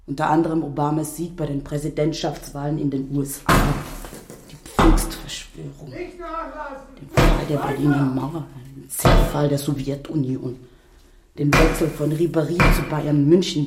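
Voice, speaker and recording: A man's voice: fairly high pitch, a little slow, heavy background noise. A man reading scripted literary narration as a storyteller.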